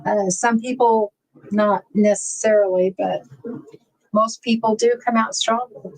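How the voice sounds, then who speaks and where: Casting: woman host, unhurried, warmly supportive interviewer on a video call. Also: mid-range voice, steady tone, dry recording, low-quality recording.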